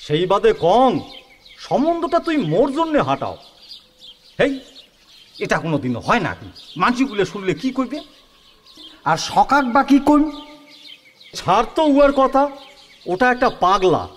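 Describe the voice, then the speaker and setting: A man's voice: high-pitched, very animated, normal speed, pauses often, a bit bright, a little hiss or hum. A man playing a greedy village schemer. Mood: scheming. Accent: Kamtapuri. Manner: scheming, wheedling, bargaining. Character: greedy middle-aged village man haggling over a dowry. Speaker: Sanatan, a scheming matchmaker's ally. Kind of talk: rural village radio play acting.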